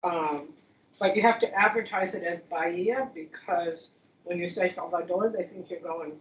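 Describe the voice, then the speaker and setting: A woman's voice: on the low side, moderate pace. A woman faculty member in casual discussion, rambling and thinking aloud with frequent restarts. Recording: distant echoey room mic, dull sound.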